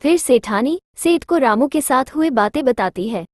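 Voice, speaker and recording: A woman narrates a scripted story for children. Her voice is high in pitch, and the recording is very dry, with no room sound. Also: expressive, normal speed, no room sound.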